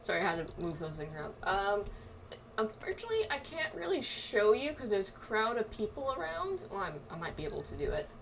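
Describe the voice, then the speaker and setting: A woman's voice: mid-range voice, big pitch swings, a little fast, background noise. A woman chatting casually through a game playthrough, reading quest text aloud and muttering asides to herself. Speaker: game streamer playing with friends. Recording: game music behind the voice. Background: game music.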